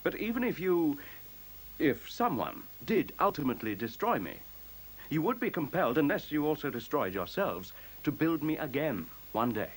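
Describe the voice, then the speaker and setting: A man playing a newborn machine intelligence in a science fiction radio play, speaking in measured, formal, pedantic sentences with cool, superior logic. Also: fairly high pitch, sing-song, breathy edge, low-quality recording. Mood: assured. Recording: faint hiss, 1970s radio recording.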